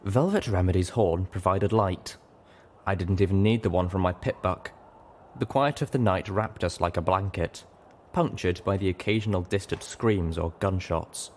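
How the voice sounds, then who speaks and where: Low-pitched man narrating a story. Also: normal speed, a little mellow, slight background noise. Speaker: audiobook narrator. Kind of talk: scripted first-person story narration.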